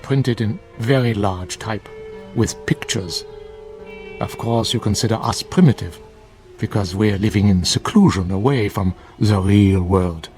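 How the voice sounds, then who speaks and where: An actor playing a grave, fervent older monk in a radio drama, speaking in formal, measured, preachy phrases. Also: male voice, fairly low pitch, a little mellow, faint music behind, lightly acted. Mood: stern, knowing. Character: solemn bearded older monk, fervent guardian of truth. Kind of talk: classic radio drama acting.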